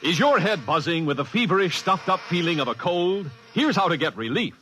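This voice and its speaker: Casting: male vintage commercial announcer, forceful bright tone, lively intonation, faint noise behind. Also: a little high, a little fast. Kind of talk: 1950s radio advertising read. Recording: noisy old radio recording with buzzing.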